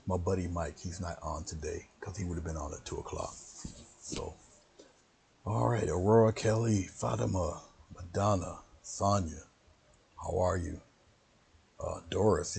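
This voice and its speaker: Low gravelly man chatting on a stream. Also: a little mellow. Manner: rambling, chatty, greets viewers by name. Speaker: painting instructor. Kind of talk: casual livestream painting lesson.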